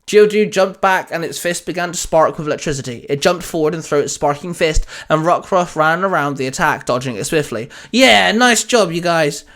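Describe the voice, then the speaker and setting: Male storyteller, fairly high voice, narrating scripted fiction. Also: brisk, hardly any pauses, fairly bright tone. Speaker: storyteller, fan fiction reader.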